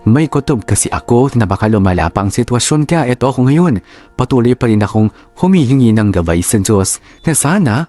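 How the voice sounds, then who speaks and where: A man with a Filipino accent performing a letter writer's family story as measured, solemn radio drama narration. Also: medium pitch, expressive, warm tone, natural voice. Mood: solemn, reflective. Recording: music bed under voice.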